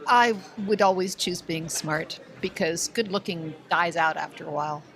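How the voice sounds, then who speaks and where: A woman's voice: lowish voice, moderate pace, fairly bright tone. A woman talking casually as a panelist in a podcast conversation. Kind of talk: casual chat.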